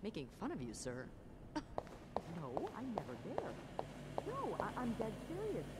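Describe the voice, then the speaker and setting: A woman's voice: medium pitch, a little slow, very noisy. A woman voicing an exasperated, sardonic hotel receptionist who keeps up a polite front, in a narrative video game. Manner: deadpan sarcasm under polite phone courtesy.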